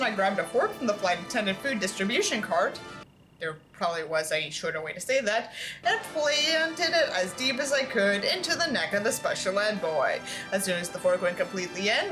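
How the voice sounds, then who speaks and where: A woman's voice: a little high, poor audio. A woman reading a book aloud theatrically, with sarcastic, exaggerated delivery and dry asides. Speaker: host reading a book aloud with mocking commentary.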